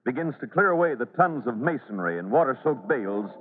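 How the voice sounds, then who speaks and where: Dramatic old-time radio announcer, man, lively pitch. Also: a little fast, heavy background noise. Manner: emphatic, theatrical. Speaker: radio serial narrator and announcer. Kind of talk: dramatic scripted 1940s-style radio narration. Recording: reverberant, tinny vintage radio recording.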